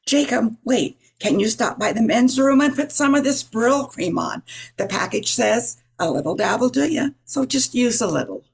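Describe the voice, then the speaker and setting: A woman playing a gravelly, bossy female office boss. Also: a little high, animated, moderate pace, heavily acted. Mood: commanding. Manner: imperious. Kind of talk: old-time radio comedy acting.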